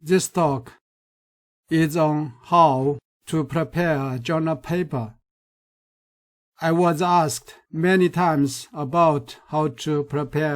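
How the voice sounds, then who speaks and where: Slow-speaking man with a Chinese accent. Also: fairly high pitch. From China. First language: Mandarin Chinese. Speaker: researcher. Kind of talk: recorded lecture.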